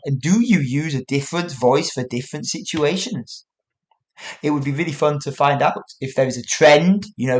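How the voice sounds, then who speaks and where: A man hosting his own English-learning podcast, talking casually to listeners with frequent "you know" asides. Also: on the high side. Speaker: English teacher and podcast host. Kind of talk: solo educational podcast talk.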